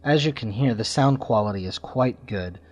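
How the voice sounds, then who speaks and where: A man hosting a podcast, giving informal announcements and reviews in a halting way, with pauses mid-sentence. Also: medium pitch, no room sound, highs cut off. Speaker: podcast host.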